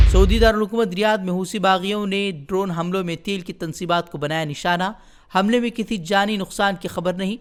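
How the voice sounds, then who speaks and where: A man reading a scripted news bulletin in formal, headline-style phrases. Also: sits high. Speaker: news presenter.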